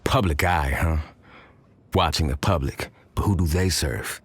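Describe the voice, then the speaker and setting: An actor playing a gruff, wry, suspicious tough hero in a video game, with a husky voice. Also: male voice, rumbling low, measured pace, full character voice. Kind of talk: video game character voice lines.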